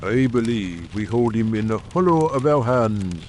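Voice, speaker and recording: A man's voice: fairly low pitch, expressive, normal speed, slight background noise, voice pushed down, natural voice. A man voicing an earnest, shrewd and confident secret society member making formal, persuasive speeches in a dramatised audiobook. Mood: confident, urgent.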